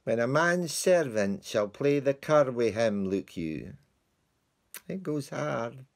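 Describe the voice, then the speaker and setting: An actor playing a comic lowly male servant, telling a broad Scottish-dialect tale of his dog with mock indignation. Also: lively intonation, slow, creaky. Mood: rueful. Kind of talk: theatrical monologue.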